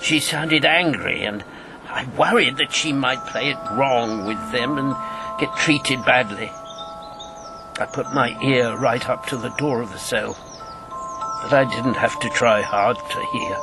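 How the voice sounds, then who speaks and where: A man's voice: medium pitch, music in the background, natural voice. An actor in a radio drama playing an embittered, grieving old man, once a wartime secret agent, speaking with weighty deliberation. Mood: haunted, sombre. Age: 70s. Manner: slow, weighty reminiscing with bitter outbursts.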